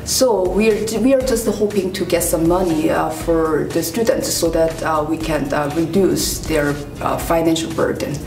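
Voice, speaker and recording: A woman instructor speaking haltingly with many pauses and fillers in a promotional interview. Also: deep voice. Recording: roomy, distant mic, music behind.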